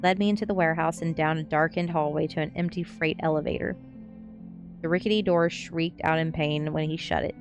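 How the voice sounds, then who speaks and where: A woman's voice: low voice, steady tone, brisk, over music. A woman reading a novel aloud as narration on an ASMR stream, with the occasional slip.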